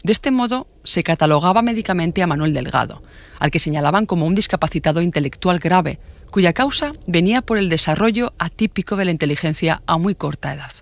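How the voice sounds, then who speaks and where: A man reads scripted true-crime narration with measured formality. His voice sits on the high side for a man. The recording is close and dry, with no room sound. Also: expressive, gravelly, fairly bright tone, dry recording. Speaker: podcast narrator.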